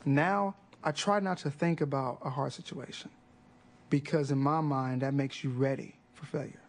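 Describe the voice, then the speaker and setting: A man's voice: mid-range voice, moderate pace. A man speaking in an upbeat, determined way in an interview about his stutter.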